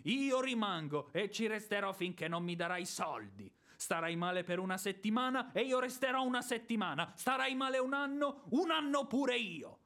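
An actor playing a boorish, hot-tempered ex-officer creditor in a radio drama, sarcastic and theatrical, moving between muttering and bluster. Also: male voice, sits high, big pitch swings, moderate pace, few pauses, bright, forceful tone, heavily acted. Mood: angry, stubborn. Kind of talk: radio drama stage acting.

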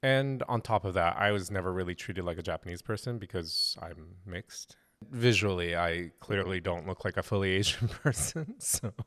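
A man hosting a conversational interview, speaking in a measured, thoughtful way. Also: low voice, fairly bright tone. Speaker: interviewer, host.

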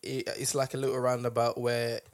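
A man's voice: medium pitch, completely flat delivery, a little fast, no echo, clean studio sound. A young man co-hosting a podcast in casual chat, teasing his friend and laughing as he talks. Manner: teasing, laughing while talking. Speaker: podcast co-host.

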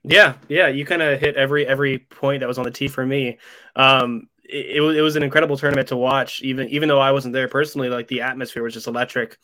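Eager guest host, male, from Florida, mid-pitched, talks fast and trips over his words. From Florida, USA. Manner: excited, rambling, many restarts. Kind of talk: casual sports podcast chat.

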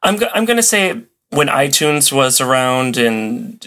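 A man's voice: medium pitch, sing-song, a little slow, bright, forceful tone. A man chatting casually as a podcast guest, telling stories in an enthusiastic, playfully dramatic way. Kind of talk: casual chat, storytelling.

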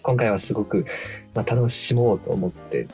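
A man's voice: fairly low pitch, moderate pace, vocal fry, darkish tone, soft background music. A man speaking as a guest writer-director in a casual radio chat, modest, apologetic and a little hesitant. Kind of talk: casual after-show chat. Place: radio studio.

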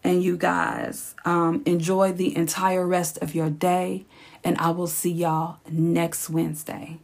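Woman, raspy, podcast host, unhurried, gently encouraging, repeats lines for weight. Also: on the low side, flat delivery. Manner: slow, encouraging, repeats phrases for emphasis. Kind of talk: reflective solo podcast talk.

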